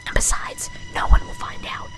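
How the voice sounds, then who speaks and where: A woman's voice: very high-pitched, monotone, moderate pace, loud music behind the voice, pitched above the performer's own voice. A woman voicing a giggly, murderous female party pony in a whispered storybook reading, sweetly chatty and casually menacing. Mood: sweet menace.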